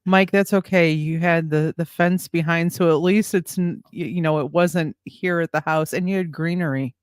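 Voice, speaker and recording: A woman co-hosting a casual live-streamed talk show, relaxed and teasing in her banter. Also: fairly low pitch, moderate pace, smooth, dry recording, clean studio sound. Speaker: co-host of a paranormal talk show.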